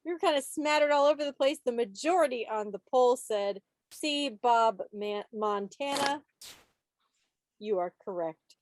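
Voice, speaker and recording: Playful, higher-voiced woman quizmaster. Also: a little slow. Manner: playful, teasing, mock-dramatic asides. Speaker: quiz host at a club meeting. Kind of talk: lively trivia quiz hosting. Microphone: video call.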